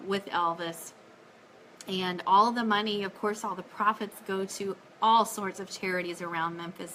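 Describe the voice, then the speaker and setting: Bright, high-voiced upbeat woman host. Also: a little hiss or hum, poor audio. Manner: upbeat, enthusiastic, chatty. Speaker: host of a fan live-stream show. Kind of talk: casual live-stream chat with announcements.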